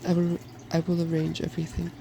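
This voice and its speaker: Creaky, soft-spoken, self-assured young woman scholar, as a narrator reads her. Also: medium pitch, monotone, fast, a little hiss or hum, natural voice. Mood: cheerful, decided. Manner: cheerfully bossy. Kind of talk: soft-spoken storybook character reading.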